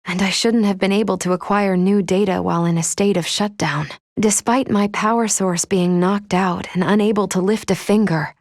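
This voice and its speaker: An actress playing a polite, composed female android from a video game, gently caring like an elder sister, with formal, measured, precise phrasing. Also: mid-range voice, fairly even intonation, no echo, studio quality, natural voice. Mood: reflective, moved. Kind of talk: video game character acting.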